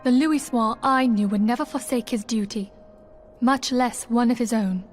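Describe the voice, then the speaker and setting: A gentle but resolute noblewoman from a fantasy video game, voiced by an actress. Her voice is notably high, her delivery composed, earnest and formal, with faint music playing behind her. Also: normal speed, lightly acted. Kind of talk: scripted video game drama acting.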